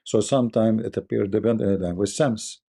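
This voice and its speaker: Blunt, scornful streamer, male, Arabic accent, dark gravelly voice. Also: fairly low pitch, fairly even intonation, fast. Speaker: host. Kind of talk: live debate stream talk.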